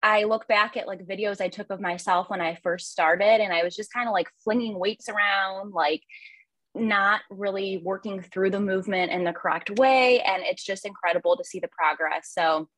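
A woman's voice: medium pitch, normal speed, a bit bright, no room sound, rough recording. A young woman who coaches nutrition, speaking as a podcast guest in a friendly, thoughtful way with many fillers. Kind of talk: casual podcast interview.

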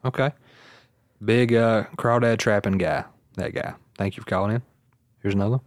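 A relaxed male podcast host talks in a loose, rambling way and laughs easily. His voice sits a little low. The sound is close and dry, with no room echo. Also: normal speed. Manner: rambling, easygoing, laughs often. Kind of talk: casual podcast chat.

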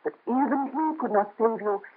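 A breathy young countess. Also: female voice, on the high side, moderate pace. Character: young noblewoman in hiding, proud and gentle. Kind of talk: 1930s radio drama acting. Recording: muffled lo-fi old radio transfer.

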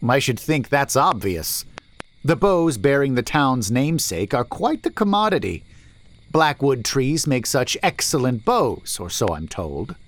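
A man's voice: medium pitch, sing-song, a little slow, pitched above the performer's own voice. A man voicing a brusque, suspicious merchant. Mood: probing. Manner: brusque, demanding, skeptical. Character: suspicious gruff male merchant leading a wagon.

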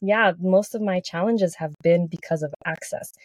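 A woman's voice: on the low side, moderate pace, dry recording. A woman in her thirties from Texas, a podcast guest talking earnestly in casual chat, with frequent restarts. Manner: earnest, with many restarts and "like" fillers.

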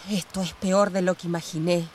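A woman's voice: on the low side, fairly even intonation, fairly quick, a little hiss or hum, close to an everyday voice. An actress playing a proud, paranoid former police commander and lady of the house in a radio drama, with a Chilean accent and a haughty, dramatic delivery. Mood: paranoid, grave. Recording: radio drama with loud music underneath.

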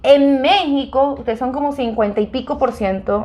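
A woman from Venezuela with a Venezuelan accent, chatting casually with a friend in a lively, teasing, joking way. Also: high-pitched, moderate pace. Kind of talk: casual chat between friends.

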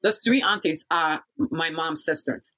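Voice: medium pitch, unhurried, sharp tone. A person from Kazakhstan telling a life story in a casual interview, rambling and often losing the thread.

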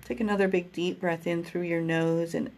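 A woman guiding a breathing meditation, speaking in a slow, soothing, gentle way. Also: lowish voice, fairly even intonation, gravelly. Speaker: meditation guide.

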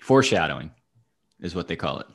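A man with a fairly low voice hosts a casual sports talk show. He chats in a wry, dry way and swears freely as he gives his commentary. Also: big pitch swings, quick pace. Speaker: sports podcast host.

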